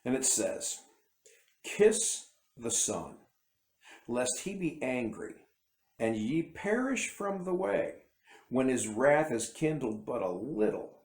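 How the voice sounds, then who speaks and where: A man's voice: mid-range voice, very animated, slow pace, darkish tone, roomy sound. A man giving a casual, rambling bible commentary to camera, often breaking off and restarting his sentences. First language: English. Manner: rambling, self-interrupting, conversational. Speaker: independent bible teacher.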